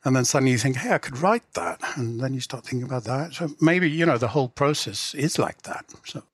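Husky middle-aged Englishman, dry close sound. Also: medium pitch, expressive, a little fast, no echo. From England. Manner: reflective, hedging, frequent 'you know'. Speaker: guest, writer and literary translator. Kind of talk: conversational interview.

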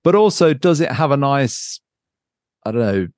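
A man's voice: medium pitch, big pitch swings, normal speed, a little mellow, no room sound, clean studio sound. A man chatting casually and unscripted as a podcast host, thinking aloud and searching for his words. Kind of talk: casual unscripted chat about board games.

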